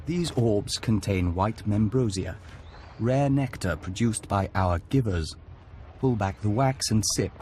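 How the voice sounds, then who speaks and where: Man playing a calm, low-voiced hive spokesman. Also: a little hiss or hum, close to an everyday voice. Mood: serene, polite. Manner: measured, polite, collective "we". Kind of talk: scripted video game acting.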